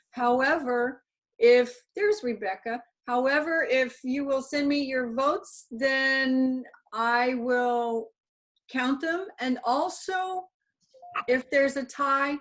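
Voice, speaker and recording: A woman with a high, bright-sounding pitch leads a club meeting over a video call. She keeps things moving in an upbeat, courteous way. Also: slow. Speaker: meeting chair.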